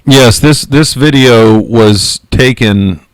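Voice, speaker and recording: A man with a loud voice hosting a casual podcast, speaking frankly with many self-corrections. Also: medium pitch, takes it slowly, dry recording, poor audio. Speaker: podcast host.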